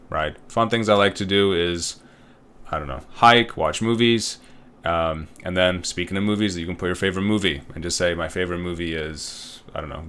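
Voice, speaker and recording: Male college instructor, thirties, from Uzbekistan; low voice, loose and chatty delivery with plenty of fillers. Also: fairly bright tone. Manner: casual, rambling, with many fillers and tag questions. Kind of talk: recorded online course walkthrough.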